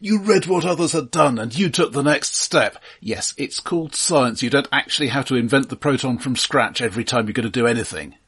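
Male podcast co-host, slightly rough voice, dry and opinionated, conversational film talk. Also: medium pitch, a little fast, few pauses, fairly bright tone. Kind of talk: casual film discussion.

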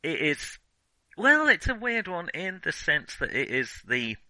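A man with a very bright, sharp-edged voice chats about films as a podcast co-host. He stops, restarts and hesitates often, with a dry room sound and a self-mocking, joking tone. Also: on the high side, very animated, no echo. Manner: halting, self-interrupting, hesitant phrasing. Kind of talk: casual film discussion.

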